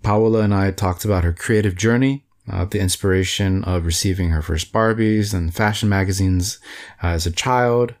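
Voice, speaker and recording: A man's voice: low-pitched, a little mellow. A man hosting a podcast, introducing and interviewing a guest in an upbeat, friendly way. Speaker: podcast host.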